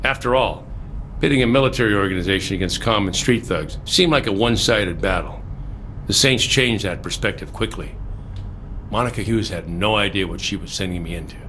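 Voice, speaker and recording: An actor playing a jaded military commander, matter-of-fact, in a scripted game audio log. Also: male voice, fairly low pitch, fairly quick, sharp tone, slight background noise. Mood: grim, matter-of-fact.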